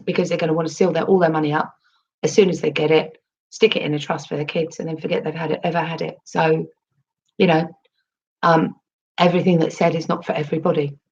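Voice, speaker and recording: A woman with a husky, low voice, still hoarse after losing her voice, rambles through a casual livestream. She reads her chat aloud and answers in a chatty, meandering way, full of ums and restarts. The sound is slightly dull and lo-fi. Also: flat delivery, quick pace, darkish tone, slight background noise. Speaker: crypto livestream host reading chat.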